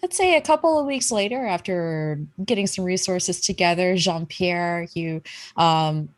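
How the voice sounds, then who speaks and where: Lower-voiced woman running a tabletop game. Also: measured pace. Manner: casual, conversational storytelling. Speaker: game master. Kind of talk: improvised tabletop game narration.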